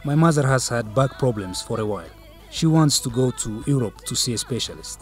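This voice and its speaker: A man's voice: mid-range voice, expressive, a little fast, soft background music, natural voice. A man playing a persuasive young boyfriend in a radio drama. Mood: pleading, awkward. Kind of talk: English-teaching radio drama dialogue.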